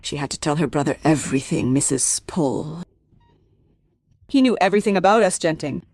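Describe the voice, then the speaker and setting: A breathy, intense woman in a radio drama, an anguished and fearful mother. Her pitch moves widely as she goes between hushed and urgent, in a very dry, intimate sound. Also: lively intonation, normal speed, no room sound. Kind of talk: radio play acting, intimate monologue.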